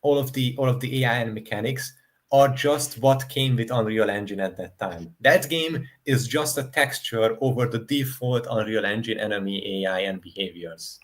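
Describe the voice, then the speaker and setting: A man's voice: lowish voice, normal speed. A man on a live gaming panel, explaining his opinions emphatically and repeating his key points.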